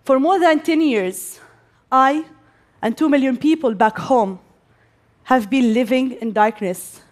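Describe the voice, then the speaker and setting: Slow, deliberate young woman from Gaza. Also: high voice. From Gaza, Palestine. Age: young adult. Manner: slow and deliberate, with pauses between phrases. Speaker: speaker giving a talk. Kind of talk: prepared speech to an audience.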